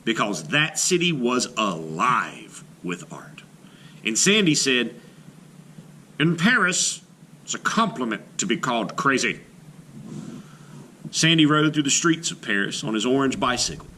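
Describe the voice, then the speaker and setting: A man reading a children's picture book aloud in an expressive storybook manner, slowing down on key phrases. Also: medium pitch, slight background noise.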